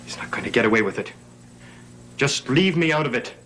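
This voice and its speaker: A man's voice: medium pitch, lively intonation, a little fast, fairly bright tone, close to an everyday voice. An actor playing an anxious, evasive, defensive man in a 1960s television gothic soap. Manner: edgy, guarded.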